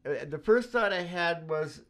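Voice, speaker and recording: A man's voice: on the high side, lively intonation, measured pace, fairly bright tone. A man in his sixties hosting a live acoustic show, chatting casually and jokingly in a rambling way, often chuckling and interrupting himself. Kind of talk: casual, joking chat between songs. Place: small wood-panelled room, indoors. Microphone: stand microphone, seated with guitar.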